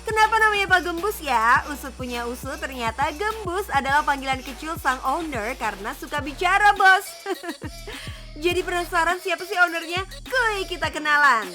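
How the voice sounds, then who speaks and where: Very high, playful female narrator over music. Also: lively intonation, fairly quick, hardly any pauses. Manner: playful, chatty, addresses viewers as boss. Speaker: TV feature narrator. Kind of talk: scripted magazine-show voice-over narration. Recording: music bed under the voice. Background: background music.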